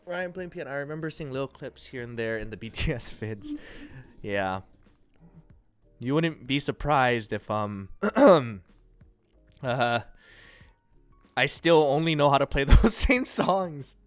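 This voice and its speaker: A man's voice: mid-range voice, measured pace, pauses often, soft background music. Congested, nasally man chatting on a stream. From United States. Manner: rambling, relaxed, self-deprecating, frequent fillers and laughs. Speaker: livestream host. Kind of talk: casual chat reading and answering viewer chat.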